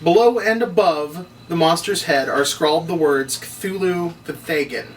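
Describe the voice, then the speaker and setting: Higher-voiced male narrator, distant mic. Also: measured pace. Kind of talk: reading stage directions at a table read. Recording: distant mic, noisy room.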